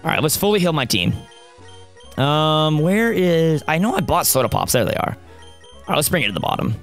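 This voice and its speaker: An excitable young man narrates his playthrough in a slightly high, raspy, worn voice. He talks close to a studio mic at a home gaming setup and cheers his team on loudly. Faint game music plays behind him. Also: normal speed. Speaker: gaming YouTuber. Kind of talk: Let's Play commentary. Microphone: studio mic close up, seen.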